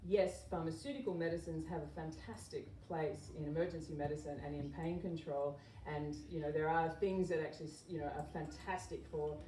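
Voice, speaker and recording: Echoey, low-voiced woman giving an informal talk. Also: steady tone, fairly quick, few pauses, warm tone. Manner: earnest, explanatory, conversational. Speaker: naturopath and health educator giving a talk. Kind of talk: informal public health talk. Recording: echoey room, distant mic, background noise.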